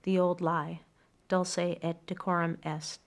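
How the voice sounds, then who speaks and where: A middle-aged woman with a fairly low voice and a noticeable creak. She speaks earnestly and thoughtfully, in the reflective manner of someone sharing something personal in a quiet room. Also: little pitch movement, slow pace. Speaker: poetry reader telling family memories. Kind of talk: reflective personal storytelling and poem reading. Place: quiet furnished room indoors.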